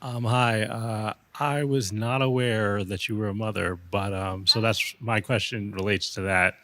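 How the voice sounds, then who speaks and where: A man's voice: on the low side, steady tone, unhurried, pushed and bright. A man asking a spontaneous question from the audience in a hesitant, tentative manner.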